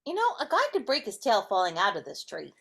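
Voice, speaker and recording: Comic rat sidekick woman performer: whiny, cowardly, animated, for a live dramatic reading. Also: mid-range voice, fast, creaky, husky, exaggerated voice. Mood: whiny, anxious. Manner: whiny, excitable comic complaining. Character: timid, silly, jelly-bean-loving male rat sidekick.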